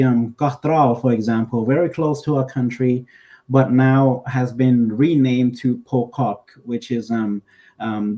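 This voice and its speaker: Halting young man, mellow dull sound. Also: medium pitch. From United States. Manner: halting, many ums, earnest. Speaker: co-host. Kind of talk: political commentary.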